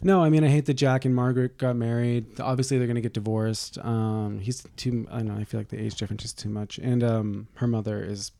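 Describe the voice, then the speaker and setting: Gravelly, dark-voiced man joking around. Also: medium pitch, little pitch movement, fairly quick, hardly any pauses, no echo. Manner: joking, teasing, rambling with many restarts. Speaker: podcast co-host. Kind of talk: casual gossipy chat.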